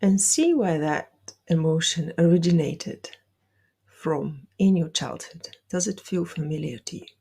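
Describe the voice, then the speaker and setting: A woman guides a meditation in a low, dark voice with a creaky edge. She gives gentle instructions in soft, breathy phrases, unhurried and deliberate. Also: animated.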